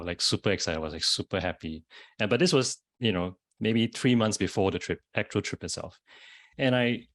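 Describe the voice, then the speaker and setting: A man with a Singaporean accent, a panelist sharing a reflective personal story with frequent fillers, speaking over a video call. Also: low voice. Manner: thoughtful, many fillers and restarts. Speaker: panelist, social entrepreneur.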